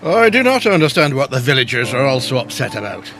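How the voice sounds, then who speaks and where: A man playing a solicitous village priest in a radio play, formal and fatherly, with a mid-pitched voice. Faint music sits behind him. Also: sing-song, a little fast, a bit bright. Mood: solemn, solicitous. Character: village priest, pious, confident, solicitous ghost investigator. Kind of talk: audio drama dialogue.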